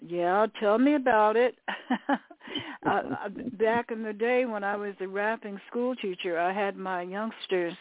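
A woman's voice: medium pitch, unhurried, no echo. An older woman hosting a conversational radio interview as the interviewer. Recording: phone-like, narrow radio sound.

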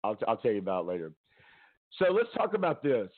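A man hosting a casual talk stream from home, loud-voiced, rambling and chatty, often laughing, talking into a headset. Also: on the low side, wildly swooping pitch, fast talker, phone-quality sound. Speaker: talk show host.

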